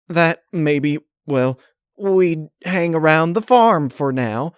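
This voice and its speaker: A man's voice: a little high, animated, slow pace, clean tone, no room sound, studio quality, pitched above the performer's own voice. A man voicing a nervous, evasive female farm pony in a story, with a folksy, stammering way of talking. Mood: nervous, forced cheer.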